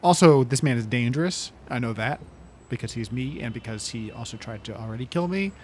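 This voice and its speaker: A man's voice: mid-range voice, lively intonation, brisk, darkish tone. A man chatting casually as a player in a tabletop game. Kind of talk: casual improvised game chat.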